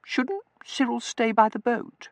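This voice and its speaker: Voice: medium pitch, very animated, unhurried, fairly bright tone, no echo, pitched above the performer's own voice. A narrator voicing a spoiled, affected young lady who coos in fluttery, prissy baby talk in a storybook reading. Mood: gushing, eager.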